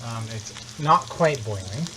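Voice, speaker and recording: A man's voice: mid-range voice, animated, measured pace. A man from the United States hosting a live cooking stream, talking casually and rambling with joking asides as he cooks in a home kitchen. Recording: clear kitchen sizzle and frying noise.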